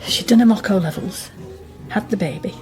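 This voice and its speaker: An actress playing a hesitant woman confiding a family secret in a radio drama, in a halting, naturalistic way. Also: mid-range voice, a little mellow, soft background music, lightly acted. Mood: subdued, confiding.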